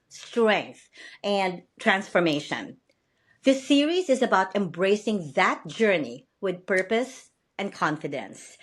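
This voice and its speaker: A woman podcast host with a Filipino accent, speaking upbeat and laughing often on a video call. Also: medium pitch. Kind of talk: podcast interview.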